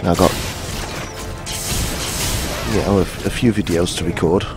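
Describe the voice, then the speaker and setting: A man in his fifties with a gruff voice, hoarse from a cold, chatting casually and self-mockingly while playing a game. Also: low-pitched, fairly even intonation, measured pace. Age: 50s. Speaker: gaming channel host. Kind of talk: casual let's-play commentary. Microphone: headphones. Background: game music.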